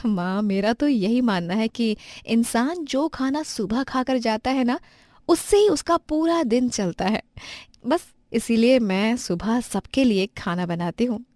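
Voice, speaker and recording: A woman voicing a kind young daughter who talks gently and earnestly. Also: on the high side, unhurried, no room sound, studio quality, voice pushed up, lightly acted.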